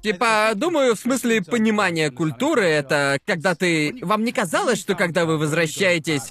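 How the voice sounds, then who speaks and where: A man's voice: high-pitched, lively intonation, moderate pace, fairly bright tone, faint music behind. A man co-hosting a podcast, musing aloud in casual chat. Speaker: podcast co-host.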